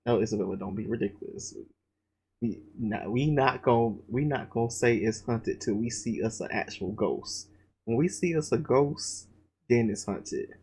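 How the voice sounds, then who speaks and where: A man's voice: medium pitch, moderate pace, breathy edge. A man reading a visual novel aloud, breaking off into profane, slangy joking asides. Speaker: let's play gamer. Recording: loud background noise.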